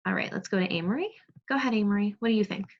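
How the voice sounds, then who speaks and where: A woman teaching second grade over a video call, reading aloud, giving clear instructions and prompting pupils with questions. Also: mid-range voice, racing. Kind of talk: interactive read-aloud lesson on video call. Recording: dull, muffled video call audio.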